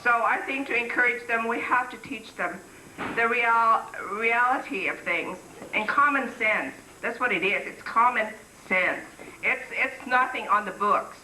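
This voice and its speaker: A woman's voice: fairly high pitch, unhurried, pushed and bright, a little hiss or hum. A middle-aged woman from the Philippines with a slight Filipino accent, speaking earnestly and firmly on a symposium panel. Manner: earnest, firm, lecturing with repeated "okay?". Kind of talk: public talk at a community symposium. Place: community center hall, recorded from afar. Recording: echoey hall recording from far off.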